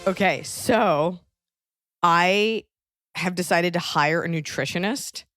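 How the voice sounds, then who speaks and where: A woman's voice: lowish voice, slow pace, over loud music. A woman hosting a podcast in casual comedic chat, with a wry, self-mocking manner. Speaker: podcast host.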